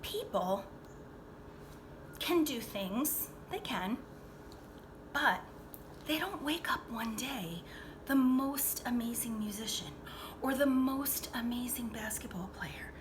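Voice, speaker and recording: A woman reading a picture book aloud to children like a teacher, expressively, pausing to explain and check in with her young listeners. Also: a little high, expressive, noisy background. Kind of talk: read-aloud storytelling with asides to young listeners.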